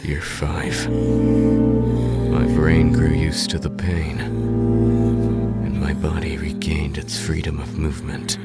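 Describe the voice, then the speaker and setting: A man voicing a tormented young man in a grim anime monologue, gravelly and grave, with loud music behind him. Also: rumbling low, completely flat delivery, slow, pauses often, heavily acted. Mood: grim, pained. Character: tormented burning young man, grimly narrating his endless agony.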